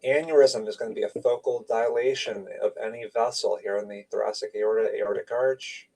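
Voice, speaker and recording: A man with a lowish, creaky voice teaches radiology in an informal lecture style. He talks through slides in short, conversational phrases. He sounds some distance from the microphone. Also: steady tone, brisk, darkish tone.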